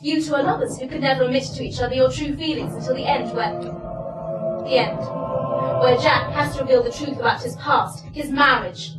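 A woman's voice: high voice, steady tone, moderate pace, over loud music, close to an everyday voice. A young woman playing an insistent, fatalistic character who explains emphatically in a stage play. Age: young adult. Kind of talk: theatrical stage acting. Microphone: distant camera microphone. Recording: roomy stage, distant mic, background sound.

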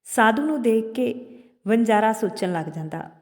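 A woman's voice: fairly high pitch, expressive, moderate pace. Woman storyteller in long, hall-like reverb. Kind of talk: narrated moral folk tale.

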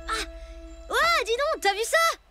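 Woman doing a cartoon little boy, super high, playful, music loud behind. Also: unhurried. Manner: lively, playful. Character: cheerful brave young boy, dinosaur's friend. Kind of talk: cartoon dubbing.

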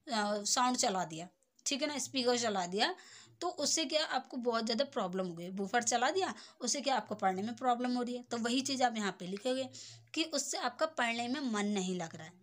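High-pitched young woman tutoring. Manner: explanatory, repeatedly checking understanding with tag phrases. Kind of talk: informal lesson explaining a handwritten letter.

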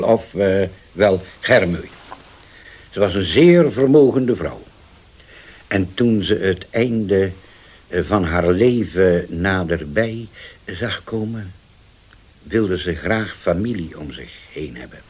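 An actor playing a solemn older uncle in a 1970s radio drama, speaking slowly and deliberately with a husky voice that sinks to near-whispers. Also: male voice, low voice, exaggerated voice. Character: old uncle, formerly dangerous, now solemn and changed.

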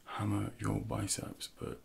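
Hushed, gravelly man doing ASMR coaching. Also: very deep voice, big pitch swings, a little slow. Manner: hushed, often dropping into whispers, instructive. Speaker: ASMR performer playing a personal trainer. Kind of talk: soft-spoken ASMR roleplay.

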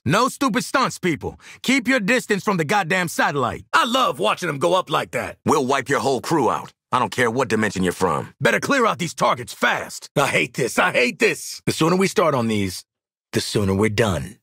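Sardonic American gun-for-hire, video game squad fighter, forceful, raspy, bossy, blunt. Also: male voice, on the high side, huge pitch swings, normal speed, dry recording, studio quality, exaggerated voice. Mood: confident, blunt. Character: hard-edged male mercenary marksman, sardonic, confident, bossy. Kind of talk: video game combat voice lines.

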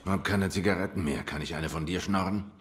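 A man acts out a cool feline detective from a noir video game. His voice sits low, and he talks in terse, dry, probing lines. Soft music runs underneath. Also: a little fast, natural voice.

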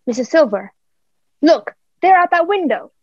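Earnest young lad, played by a woman: high, eager, polite, dry and slightly muffled radio-play sound. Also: sing-song, moderate pace, a little mellow, no room sound. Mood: urgent.

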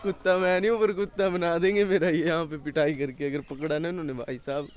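A man's voice: on the high side, fairly even intonation, fast. A man in his twenties chatting casually as a vlogger, recorded outdoors. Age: 20s. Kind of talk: casual vlog chat. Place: outdoors by a lake. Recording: music behind the voice. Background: music.

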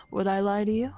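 A sly, smug witch, played by a woman in a game roleplay, speaks at a middling pitch with music underneath. She is coy and hesitant, drawing out her teasing phrases. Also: darkish tone. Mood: teasing, doubtful. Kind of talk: improvised game roleplay acting. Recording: music behind the voice. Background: background music.

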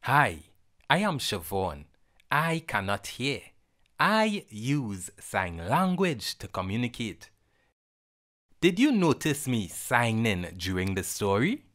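Children's lesson presenter, very unhurried, varied intonation, simple instructional phrasing. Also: mid-range voice, big pitch swings, fairly bright tone. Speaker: presenter teaching sign words. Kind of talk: sign language lesson narration for children.

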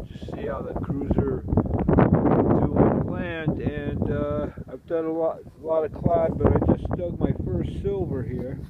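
A man casually narrating his metal detecting outdoors, in a relaxed, plain-spoken way with many fillers, recorded on a handheld camera. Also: on the high side, phone-quality sound. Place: windy beach and field outdoors. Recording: loud wind noise on the mic.

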